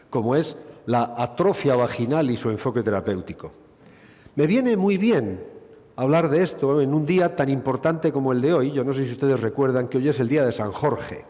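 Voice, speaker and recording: A man's voice: medium pitch, mellow. A middle-aged man the Basque Country, a gynecologist giving a witty, rhetorical conference lecture. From Basque Country, Spain. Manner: expansive, witty and rhetorical, with dry asides. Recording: lecture hall reverb.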